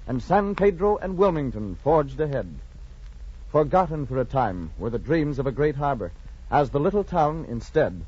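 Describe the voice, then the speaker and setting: A man's voice: a little high, darkish tone, slightly rough. A man reading scripted narration in a formal, dramatic 1940s radio style. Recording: muffled 1940s radio recording.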